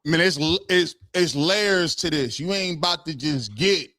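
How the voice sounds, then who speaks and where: A man talking casually on a live-stream podcast, animated and emphatic, full of slang and quick laughs. His voice sits a little high, and it is recorded dry and close. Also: no room sound. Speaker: podcast host.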